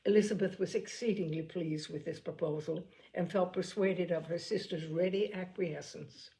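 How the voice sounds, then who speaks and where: A woman narrating a classic novel as an audiobook reader, in a measured, expressive reading. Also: fairly low pitch, rough recording.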